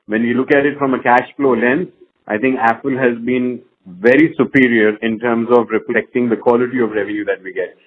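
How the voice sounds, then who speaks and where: A man answering as a company executive on an earnings call, measured and hesitant in corporate phrasing. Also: mid-range voice, steady tone, slight background noise. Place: conference call. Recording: thin band-limited conference phone line.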